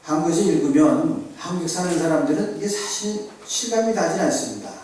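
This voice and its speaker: A middle-aged male pastor from Seoul delivers a conversational sermon full of anecdotes and rhetorical questions. His voice sits slightly high but sounds mellow and soft-edged. It carries from a distance through a very echoey church hall. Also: animated, brisk, slight background noise. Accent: Seoul Korean. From South Korea. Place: church sanctuary.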